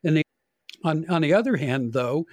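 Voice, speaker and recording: An older man with an American accent giving opinionated, deliberate political commentary in conversation as a podcast guest. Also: a little high, expressive, a little mellow, no echo.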